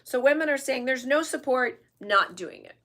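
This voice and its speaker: Woman, American, channel host, emphatic opinion talk, slightly high voice, tag questions. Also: animated, moderate pace, a bit bright. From United States. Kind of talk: opinion commentary to camera.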